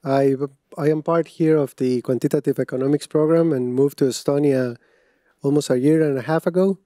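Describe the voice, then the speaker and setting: A man answers questions in a very mellow, dark voice. His phrasing is measured and slightly formal. The recording is dry. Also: a little high, no echo. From Costa Rica. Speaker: master's student guest. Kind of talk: casual interview answers.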